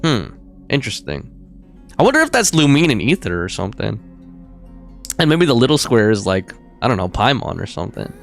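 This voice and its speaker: A man's voice: mid-range voice, huge pitch swings, fairly quick, gravelly, pauses often, fairly bright tone, faint music behind. A man livestreaming a game, chatting casually in a rambling, slangy way as he thinks aloud.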